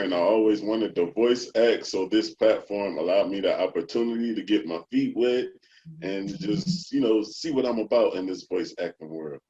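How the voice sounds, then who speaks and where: Male voice actor, smooth and dark-toned, casual delivery, dull video-call sound. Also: fairly low pitch. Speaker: aspiring voice actor. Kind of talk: casual chat.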